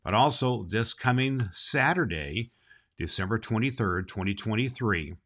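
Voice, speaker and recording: A man's voice: on the low side, expressive, measured pace, a bit bright. A man playing a jolly, kindly old Santa Claus hosting a children's holiday show, folksy and emphatic. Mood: cheerful, welcoming. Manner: folksy, emphatic, repeats phrases. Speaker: host.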